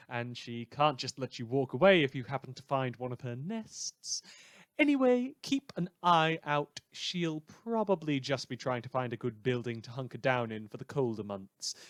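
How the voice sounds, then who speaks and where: A man's voice: mid-range voice, fairly bright tone, no echo. A man playing a sarcastic radio news host in a superhero world, delivering scripted comedy news with theatrical dry asides.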